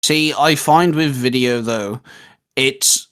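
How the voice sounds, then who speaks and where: A man's voice: medium pitch, slow, a bit bright. A young man co-hosting a casual video game discussion, explaining his points conversationally and now and then groping for a word. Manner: explanatory, groping for words, tags points with "you know". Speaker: co-host.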